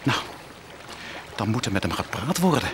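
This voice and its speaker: Casting: callous soldier, lowish voice, curt and commanding radio play delivery over noisy watery ambience. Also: male voice, sing-song, normal speed, fairly bright tone. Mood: tense, discontented. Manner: curt, clipped orders. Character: hard, callous army officer, fearful of plague. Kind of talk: radio drama dialogue.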